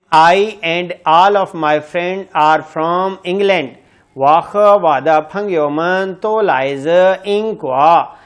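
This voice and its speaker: Slow, deliberate male teacher. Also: high-pitched. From Pakistan. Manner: reads each phrase in English, then Chinese, then Urdu. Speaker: language teacher. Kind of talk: reading a language lesson.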